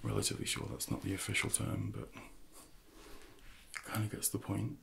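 Very gravelly soft-spoken man, ASMR coaching. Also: fairly low pitch, sing-song, background noise. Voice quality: gravelly, breathy near-whisper. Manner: slow, gentle, hushed coaching. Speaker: ASMR performer playing a personal trainer.

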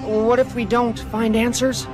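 A man's voice: very high-pitched, flat delivery, unhurried, over music. An actor playing a prim, bookish man who speaks precisely and formally, in a video game cutscene. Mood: worried.

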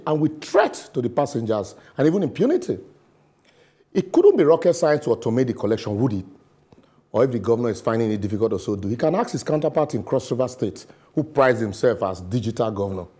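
A middle-aged man with a Nigerian accent reads his opinion piece to a studio camera. His voice is mellow and a little husky, and he leans on words with sarcasm and pidgin asides. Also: mid-range voice. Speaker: commentator. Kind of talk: scripted opinion commentary. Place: television studio.

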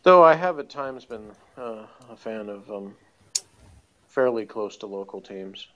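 A man's voice: fairly low pitch, animated, measured pace, a bit bright, muffled recording. A man from Texas co-hosting a casual, conversational commentary on a TV episode. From Texas, United States. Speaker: podcast co-host.

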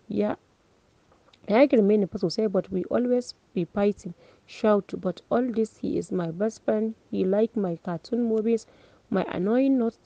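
A woman voicing an emotional teenage girl giving a halting, slow graduation speech, in a dull lo-fi recording. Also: medium pitch, creaky, warm tone, dry recording, close to an everyday voice.

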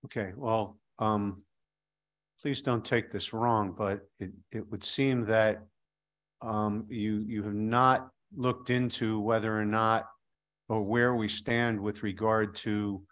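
A man's voice: lowish voice, fairly even intonation, takes it slowly, a bit bright. A middle-aged man questioning a witness at a legislative hearing, probing and skeptical, speaking into a desk microphone in a hearing room.

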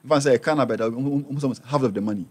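A Ghanaian man in his forties, a radio guest telling his life story with animated delivery, switching between Twi and English in a studio. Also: medium pitch, very fast. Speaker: interview guest, business owner. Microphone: studio mic on boom arm.

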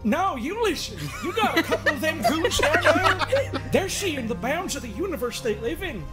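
Smug middle-aged cosmic therapist man, played by a woman, mock-stern and folksy, very animated pitch, comedic game dub over prominent music. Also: normal speed. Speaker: fan dub voice performer. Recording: music under the voice.

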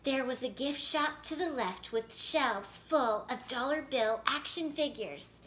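A woman in her thirties reading a children's picture book aloud in an expressive, animated way. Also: high voice, measured pace. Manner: animated, clearly paced storytelling. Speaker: storyteller reading picture books. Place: indoor living room by a fireplace.

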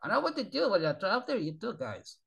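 A tired man, a native Spanish speaker, rambling in halting, repetitive broken English with sighs as he talks to his channel's viewers from a small bedroom on a webcam. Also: high-pitched, very animated, creaky, fairly bright tone, husky. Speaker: channel host. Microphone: webcam at desk.

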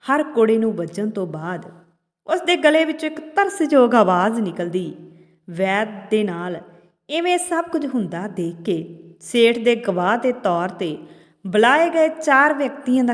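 Woman storyteller in long reverb. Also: mid-range voice. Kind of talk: scripted moral story narration.

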